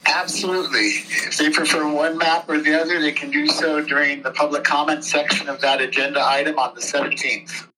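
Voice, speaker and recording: A man giving unscripted, measured and diplomatic interview answers as a board official. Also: fairly high pitch, flat delivery, a crowd in the background, rough recording. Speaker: school board president being interviewed.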